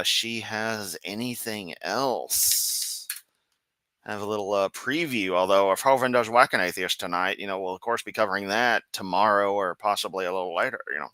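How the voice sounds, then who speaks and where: A man's voice: lowish voice, bright, forceful tone, no echo. A man hosting a casual live stream, rambling and sarcastic while clicking around, with a sore throat. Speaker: livestream host.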